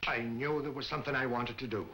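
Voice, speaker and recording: A man's voice: medium pitch, fairly even intonation, fast talker, pushed and bright, slight background noise. An actor playing a brash, bumbling newsman in a 1940s film serial. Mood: flustered.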